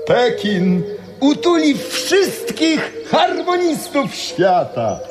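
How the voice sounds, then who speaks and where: An actress playing a gushing, slogan-spouting festival enthusiast in a stylized radio drama. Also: female voice, sits high, sing-song, extremely slow, music in the background, exaggerated voice.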